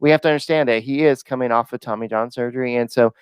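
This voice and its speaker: A man with a smooth, very clear voice co-hosts a casual sports podcast. He talks in a relaxed, hesitant way, often pausing on fillers. The recording is dry and close. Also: medium pitch, no echo. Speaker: podcast co-host.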